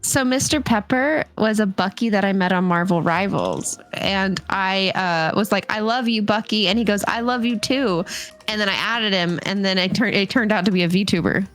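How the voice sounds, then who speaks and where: A woman's voice: mid-range voice, moderate pace, fairly bright tone, soft background music. Woman in casual stream chat.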